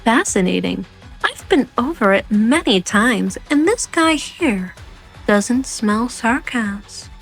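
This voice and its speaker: A woman's voice: a little high, animated, slow pace, gravelly, full character voice. A woman voicing a smug, mockingly sweet assassin with a teasing, self-assured drawl in a fan-dubbed game drama. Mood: amused menace. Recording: background music under the voice.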